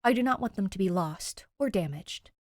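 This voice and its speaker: A woman's voice: mid-range voice, animated, normal speed, a little mellow, no echo, studio quality, natural voice. A woman voicing a formal, condescending teenage boy with clipped speech in an audio story. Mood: stern, instructing.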